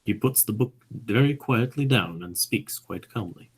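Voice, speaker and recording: A man with a husky voice reading stage directions as narrator of a radio play. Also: fairly low pitch, animated, normal speed.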